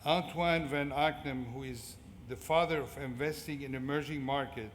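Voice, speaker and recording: A man's voice: mid-range voice, steady tone, moderate pace. Middle aged guy w/ syrian arabic accent giving a graduation speech, big echoey hall, noisy. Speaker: commencement speaker. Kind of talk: prepared motivational speech. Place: large indoor fieldhouse at a ceremony. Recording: echoey fieldhouse PA with clear background noise.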